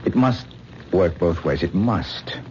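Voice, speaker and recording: An actor playing a famous, self-pitying stage actor who gives lofty, melodramatic speeches in a 1970s radio drama. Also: male voice, low-pitched, lively intonation, slow pace, darkish tone. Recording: dull old radio broadcast with faint hiss.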